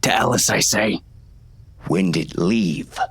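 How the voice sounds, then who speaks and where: An actor playing a hard, commanding western gang boss, stern and clipped, in an audiobook. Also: male voice, low-pitched, expressive, unhurried, fairly bright tone, slightly rough, full character voice. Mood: cold, menacing. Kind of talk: first-person western audiobook narration and dialogue.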